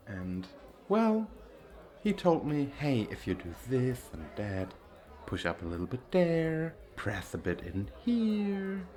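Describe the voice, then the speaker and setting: Man playing a slow, vain male model. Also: lowish voice, a little mellow. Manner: boastful, theatrical, coquettish, with drawn-out pauses. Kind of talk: flirtatious ASMR roleplay monologue.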